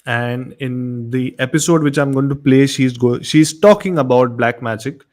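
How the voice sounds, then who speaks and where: A man's voice: mid-range voice, normal speed, a little mellow. A man talking casually as he introduces a segment on a horror radio show.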